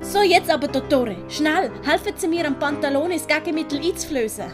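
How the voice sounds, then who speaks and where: A woman's voice: high voice, a little fast, over music, pitched above the performer's own voice, close to an everyday voice. A woman voicing a clever, bossy young maid in a storybook. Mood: bossy, proud.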